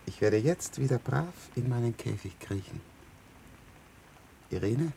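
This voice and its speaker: An actor playing a world-weary, resigned immortal old professor in a 1970s radio drama, with a gravelly voice. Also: male voice, mid-range voice, animated, a little slow, dark tone. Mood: weary resignation. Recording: faint noise of rain and thunder.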